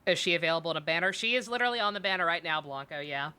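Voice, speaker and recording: A woman's voice: lowish voice, lively intonation, very fast, sharp tone, clean tone. A woman livestreamer giving casual, irreverent, sweary commentary on a game. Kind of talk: casual live stream commentary.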